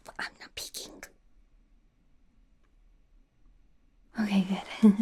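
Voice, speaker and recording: A woman playing an anxious, awkward young female werewolf medical student in a soft, breathy, whispered ASMR roleplay, speaking hesitantly with stammers. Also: a little fast, close to an everyday voice. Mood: awkwardly formal. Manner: hesitant, stammering, flustered and gentle.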